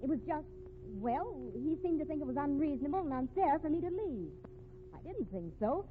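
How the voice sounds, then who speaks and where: An actress playing an earnest, indignant secretary defending herself in a 1950s radio mystery, prim and easily flustered. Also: female voice, high-pitched, lively intonation, fairly quick. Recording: tinny, noisy old radio recording.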